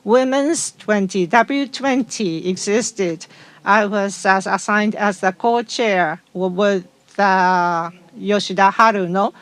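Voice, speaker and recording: Woman interpreting with halting fillers. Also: medium pitch. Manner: halting, frequent fillers and restarts. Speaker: conference interpreter. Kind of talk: simultaneous interpretation into English.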